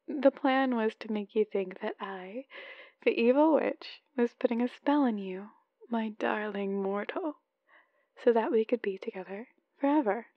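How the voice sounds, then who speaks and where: A woman's voice: fairly high pitch, dry recording, highs cut off, lightly acted. A woman playing an adorkable, lovesick, playful newlywed wife leaving chatty voicemails in an ASMR roleplay. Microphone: close microphone, dry.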